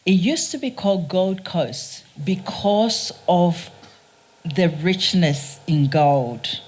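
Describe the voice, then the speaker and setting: A woman from Ghana with a Ghanaian accent, giving a prepared public talk in an earnest, deliberate, emphatic manner. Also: fairly low pitch, lively intonation, darkish tone. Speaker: guest speaker, race scholar. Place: speaking live at a theatre event.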